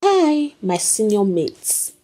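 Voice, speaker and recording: A woman's voice: medium pitch, sing-song, slow, mellow. Woman voicing a brazen, smug young pregnant mistress. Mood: smug, confident. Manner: brazen, oozing confidence.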